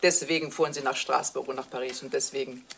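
An actress playing a bitter, suspicious middle-aged wife in a German crime radio play, asking pointed, sarcastic questions. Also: female voice, a bass voice, fairly even intonation, fast, vocal fry, a bit bright, slight background noise, exaggerated voice.

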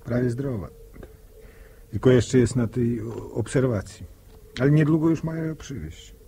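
A man's voice: medium pitch, moderate pace, gravelly, dark tone, lightly acted. An actor playing a modest, kindly, hesitant young countryman in a 1960s radio drama. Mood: thoughtful, warm. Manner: hesitant, repeats words, rural phrasing. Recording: noisy old 1960 radio recording.

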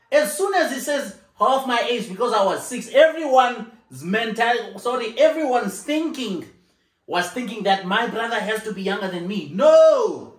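A man's voice: very high-pitched, a bit bright. Insistent man arguing on a couch. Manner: insistent, repeats himself, laughs a lot. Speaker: co-host of a couple's channel. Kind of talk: casual argument on a couch. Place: living room, sitting on a sofa. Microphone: far camera. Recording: echoey living room, camera mic.

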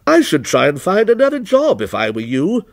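A cold, insinuating stranger, as a male reader puts him on, pitched well above the narrator. His husky, gravelly delivery sits in a dry, close space, and he slides between sharp highs and lows. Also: wildly swooping pitch, moderate pace, no room sound, full character voice. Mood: needling. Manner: insinuating, needling questions. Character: aloof scornful man, coldly probing.

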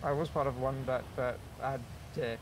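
A man's voice: mid-range voice, fairly even intonation, brisk, background noise. A man of twenty chatting eagerly with friends during a game, often repeating and tripping over his words. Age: 20s. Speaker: fellow player. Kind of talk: casual gaming chat over voice comms.

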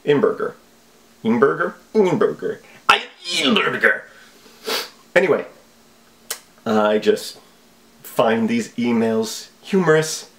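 Man, thirties, video host, casual comic commentary, wry, mock-earnest, lively intonation. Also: mid-range voice, slow, long pauses. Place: small home office, indoors.

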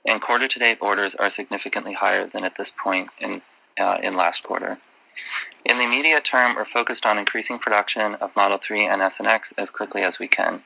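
Man, finance executive, measured delivery, very bright forceful voice, lowish pitch, prepared business remarks. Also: gravelly, rough recording. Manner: formal, measured. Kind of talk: prepared earnings call remarks.

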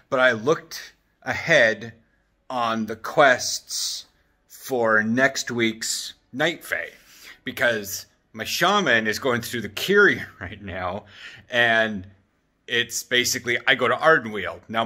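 A man's voice: medium pitch, bright, forceful tone. A man in his thirties rambling casually with jokey asides, as in a vlog, recorded at arm's length in an apartment. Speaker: vlogger. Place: apartment living room and open kitchen. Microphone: handheld camera at arm's length.

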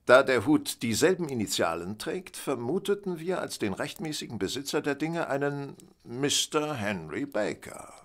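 An actor playing a shrewd, self-assured gentleman detective in a radio play, with a husky voice and a wry, deliberate, theatrical delivery. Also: mid-range voice, very animated. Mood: brisk, explanatory. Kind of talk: German radio play acting.

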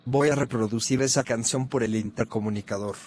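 A man voicing a bratty cartoon boy, flat sneer. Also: mid-range voice, brisk, slightly rough, natural voice. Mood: smug. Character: bratty bald boy who mocks grown-ups. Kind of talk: fan-made cartoon dub.

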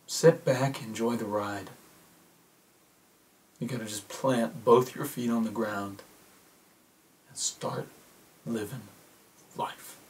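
Guy doing laid back wisecracking astronaut, movie monologue, normal male pitch, wry n reassuring. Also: steady tone, slow, long pauses, a little mellow, natural voice. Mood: gentle, coaxing. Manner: wry, conversational, coaxing. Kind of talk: naturalistic film monologue.

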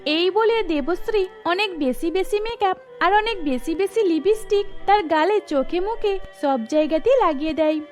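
A woman's voice: very high-pitched, lively intonation, normal speed. A woman storyteller reading scripted narration for a cartoon, with music underneath.

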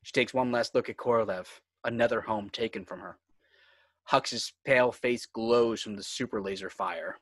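A man's voice: lowish voice, moderate pace, fairly bright tone, dry recording. A man reading screenplay stage directions as dramatic scripted narration.

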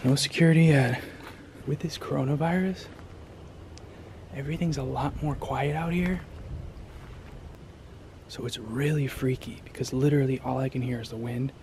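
A middle-aged man filming himself exploring an abandoned farm at night, talking in a hushed, nervous way to his camera. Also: mid-range voice, moderate pace, long pauses, slight background noise. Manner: hushed, jittery, often dropping to a whisper. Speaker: urban explorer vlogger. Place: abandoned barn and farmyard at night, outdoors and indoors. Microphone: handheld camera.

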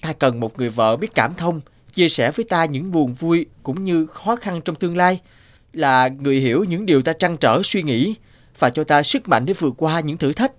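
A man voicing a gentle, humble young prince in a fairy-tale cartoon, earnest and polite. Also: on the high side, lively intonation, normal speed, a little hiss or hum, lightly acted.

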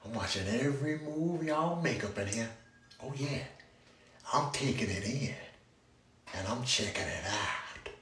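A man's voice: mid-range voice, animated, a little slow, long pauses, a bit bright, pitched below the performer's own voice. Man doing a nosy animal in an echoey space. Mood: sly, watchful. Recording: echoey, distant, noisy room sound.

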